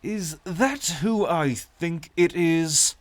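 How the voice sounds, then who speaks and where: Man acting an eager, high-voiced sailor. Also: very slow. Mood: shocked. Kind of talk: cinematic audio adventure acting.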